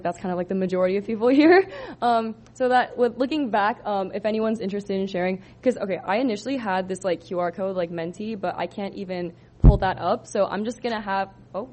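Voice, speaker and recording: A woman's voice: mid-range voice, darkish tone. A woman in her twenties from Southern California presenting her senior thesis to an audience, casual with many ums and likes. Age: 20s. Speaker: student presenter.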